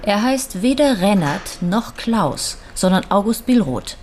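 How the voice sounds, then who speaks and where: An actress playing a composed, courteous and probing widow in a radio crime drama, speaking naturally with slight hesitations. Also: female voice, medium pitch, very animated, unhurried, a little mellow, slight background noise. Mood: probing, concerned. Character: composed, polite, probing widow, guarded and well-mannered.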